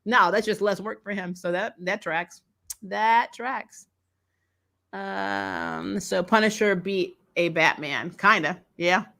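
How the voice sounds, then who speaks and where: A woman co-hosting a casual comic book chat, telling things in an animated, sarcastic way with mild swearing. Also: medium pitch, slow pace. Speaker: co-host of a comic book discussion stream. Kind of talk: casual fan chat, reading and answering viewer comments.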